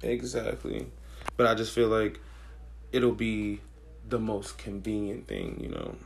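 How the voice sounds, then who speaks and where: A hoarse young man with a raspy voice, co-hosting a podcast in slangy casual chat. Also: fairly low pitch, unhurried. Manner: relaxed, slangy, thinks aloud with fillers. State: hoarse, losing his voice. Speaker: podcast co-host.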